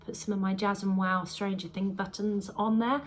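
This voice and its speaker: A woman's voice: mid-range voice, flat delivery, normal speed, slight background noise. A woman chatting casually to camera about her sewing projects, a bit congested and bunged up, rambling with lots of "um"s. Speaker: sewing vlogger.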